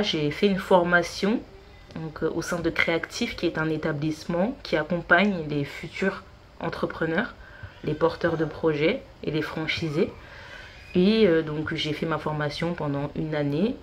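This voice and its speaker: A woman's voice: deep voice, slight background noise. A woman in her thirties, a consultant guest on a podcast, talking with many hesitations and fillers. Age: 30s. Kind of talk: casual interview.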